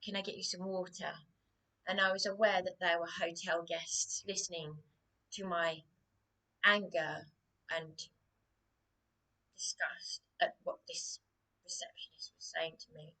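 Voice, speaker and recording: A woman vlogging alone, hoarse and losing her voice, speaking hesitantly and haltingly with many restarts, often dropping to a whisper. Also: fairly low pitch, steady tone, pushed and bright, low-quality recording. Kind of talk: personal vlog monologue.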